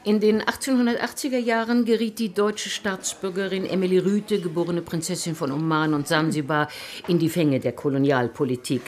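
An actress playing a learned, self-assured ethnologist in a radio drama, speaking in a precise, lecturing way. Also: female voice, on the low side, steady tone, a little fast, darkish tone, close to an everyday voice. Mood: composed, informative.

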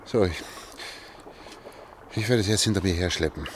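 Man acting a agitated male fugitive, low voice, halting pleading delivery, radio drama, watery background noise. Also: close to an everyday voice. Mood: grimly determined. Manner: halting, repeats words, pleading and pressing. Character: desperate, agitated male engineer fleeing underground.

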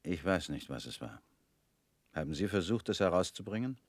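A very deep-voiced, probing ex-prisoner. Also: male voice, expressive, moderate pace, dry recording, clean studio sound. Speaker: radio drama actor. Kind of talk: radio play acting.

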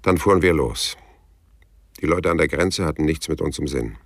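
Hard-boiled private eye, extremely low voice, gravel and fry, wry, terse, radio noir. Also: male voice, normal speed. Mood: brooding narration. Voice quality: very deep, gravelly, husky. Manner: laconic, sardonic. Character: world-weary, wry, tough male private detective, narrator. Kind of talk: German radio play acting and first-person crime narration.

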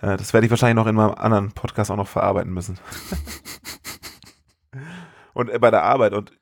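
A man co-hosting a podcast, chatting casually about films in an animated, incredulous way full of colloquial exclamations. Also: fairly low pitch, fast talker, gravelly. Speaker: podcast co-host. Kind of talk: casual film review chat.

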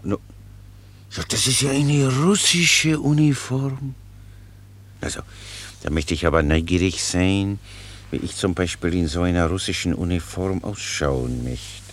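An actor playing a naive, talkative, good-natured Czech soldier in a radio play, rambling on in a folksy, guileless way. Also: male voice, low-pitched, lively intonation, measured pace. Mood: musing, curious. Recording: noisy radio play recording.

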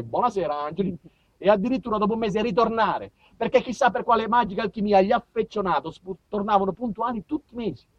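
A man with a southern Italian accent performing a comic monologue, theatrical and mock-pompous, moving between muttered asides and loud outbursts. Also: fairly high pitch, no room sound, muffled recording. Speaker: stage monologist. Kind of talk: comic autobiographical storytelling to a live audience.

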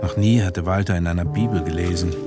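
An actor as a sober storyteller, giving measured, matter-of-fact literary narration in a radio drama. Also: male voice, sits low, loud music behind the voice, natural voice.

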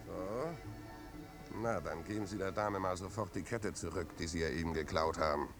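A deep-voiced crook in a 1950s radio drama. He is blunt and commanding, a tough protector who talks in plain street phrases. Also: normal speed, over music. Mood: threatening. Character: tough, protective male crook posing as a costumed guest.

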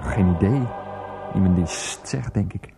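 An actor playing an aggrieved, sarcastic former schoolteacher who grumbles defensively in a comic radio play. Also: male voice, low-pitched, measured pace, gravelly. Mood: grumbling. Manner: defensive, repetitive, indignant muttering with filler phrases. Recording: music behind the voice.

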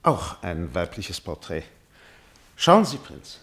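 An actor playing a deferential but proud and ardent court painter in a classic German radio play, speaking in a courtly, emphatic, declamatory way. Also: male voice, deep voice, big pitch swings, slow pace, fairly bright tone, full character voice. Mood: eager.

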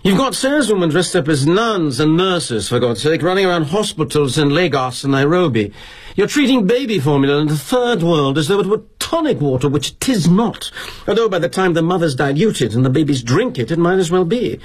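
A man's voice: high-pitched, very animated, brisk, hardly any pauses, husky, voice pushed up, full character voice. An actor voicing an indignant young British male employee, earnest and sputtering with moral outrage in a dramatic monologue. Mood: indignant, accusing. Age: young adult.

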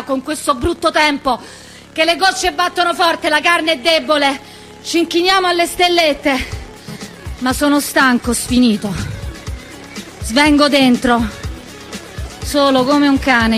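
A woman stage performer with a very high voice. She delivers a spoken-word theatrical monologue with declamatory intensity, over music. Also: sharp tone. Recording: music under the voice.

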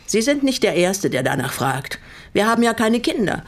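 A woman's voice: medium pitch, big pitch swings, normal speed. An actress playing a resolute, bitter older woman in a German radio drama, speaking in a composed, pointed way. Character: resolute, bitter older widow receiving guests.

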